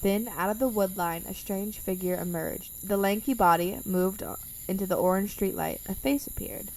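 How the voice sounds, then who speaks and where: A woman's voice: mid-range voice, normal speed, a little mellow, far from the mic, rough recording. A woman reading scripted story narration for a radio drama.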